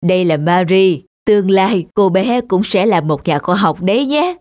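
A man's voice: sits high, expressive, normal speed, a little mellow, dry recording. A man voicing a kindly, confident old professor in a cartoon, genial and assured. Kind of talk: animated drama dubbing. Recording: music under the voice.

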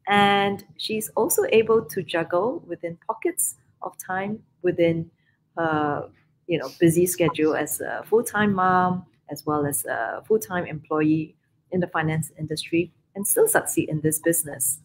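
A woman's voice: on the low side, a little slow, slight background noise, rough recording. Upbeat Singaporean woman on a video call. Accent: Singaporean English. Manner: upbeat, chatty, many fillers. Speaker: podcast host. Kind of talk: casual live-stream chat. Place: room abroad, indoors, video call. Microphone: webcam on video call.

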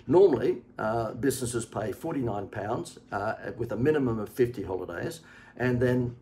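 A man in his sixties with an Australian accent, a marketing consultant giving a chatty, persuasive sales pitch from a home office, often pausing with "uh". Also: mellow, slight background noise. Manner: chatty, persuasive, many "uh" fillers. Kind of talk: direct-to-camera sales pitch.

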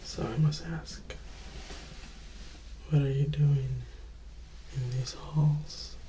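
A man in his twenties playing a charismatic, slyly menacing young vampire in a soft-spoken, whispered ASMR roleplay, teasing and hushed. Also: fairly high pitch, little pitch movement, reverberant, slight background noise. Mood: sly, curious. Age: 20s. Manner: slow, hushed, teasing, with lingering pauses. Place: small indoor room or hallway, close to camera.

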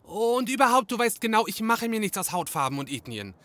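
A man's voice: high voice, sing-song, a little fast, bright, forceful tone, dry recording, exaggerated voice. A man playing an anxious, naive young detective's assistant in a comedy audio drama, flustered and exclamatory. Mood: indignant, baffled.

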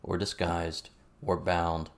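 A narrator, a man, plays a serious, guarded man in an audiobook. He speaks low and measured, explaining things calmly without warmth or fuss. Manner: measured, matter-of-fact.

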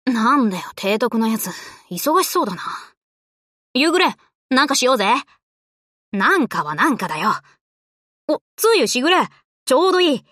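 Bright, brash tomboy warship girl. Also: high voice, sing-song, normal speed, long pauses, no room sound, studio quality, heavily acted. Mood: breezy, confident. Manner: rough, boyish, casual speech. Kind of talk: Japanese anime game character voice lines.

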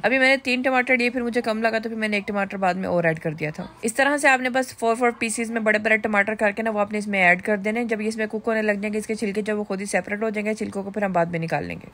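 A woman's voice: on the high side, fairly bright tone. A woman in her thirties hosting a home cooking vlog, chatting through each recipe step with lots of little check-in questions. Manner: chatty, step-by-step instructions with frequent tag questions. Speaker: cooking channel host. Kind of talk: voice-over cooking tutorial.

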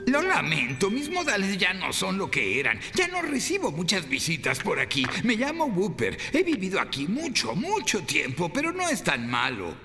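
A man's voice: high voice, huge pitch swings, normal speed, hardly any pauses, heavily acted. A man voicing a lonely, eccentric old blind cave creature in a cartoon dub, courteous and chatty. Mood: apologetic, chatty. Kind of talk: animated series dub. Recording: long reverb, music behind.

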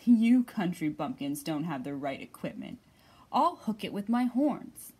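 A woman's voice: fairly low pitch, sing-song, a little slow, warm tone, slightly rough, voice pushed down, heavily acted. A woman voicing a smug, scornful male ram from a picture book, boasting to his listeners. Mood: smug, boastful.

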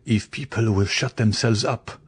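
A man's voice: on the low side, steady tone, moderate pace, slightly rough. A man voicing a furious, trembling young nobleman. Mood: angry. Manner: furious, tense, accusing. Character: angry, trembling young male viscount.

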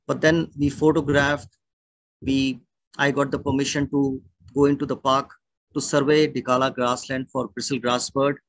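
A middle-aged man with an Indian accent speaks as a naturalist presenting to an online audience. His voice sits slightly high for a man and has a husky grain. He explains in a measured way, and the sound is close and dry, with little room in it. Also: dry recording, poor audio. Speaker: naturalist and birding guide. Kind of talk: online illustrated talk. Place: indoor room at home or office, on a video call. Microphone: video call microphone.

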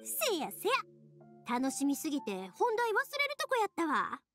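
A cheerful young woman with a high voice and a Kansai Japanese accent. She is chatty, expressive and earnest, an anime game heroine with music playing behind her. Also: normal speed, heavily acted. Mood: excited, cheerful.

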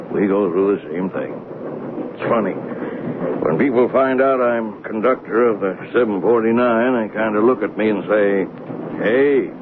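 An old train conductor in a vintage radio play. He rambles in a folksy, fatherly way with a lowish voice. The sound is thin and phone-like, with clear noise around it. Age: 60s. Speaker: train conductor narrating his story. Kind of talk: radio drama acting, first-person storytelling. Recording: noisy, phone-like 1970s radio recording.

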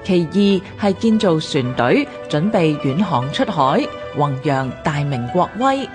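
A woman with a Hong Kong Cantonese accent reads a scripted history documentary in a formal, measured way. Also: sits low, sing-song, music in the background. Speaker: narrator of a radio history programme.

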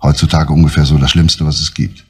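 A stern middle-aged police inspector speaks in a deep, husky voice. He stays calm and deliberate under pressure, in the manner of a 1970s crime show. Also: heavily acted. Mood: grave. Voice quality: low, husky, measured. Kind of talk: 1970s TV crime drama dialogue.

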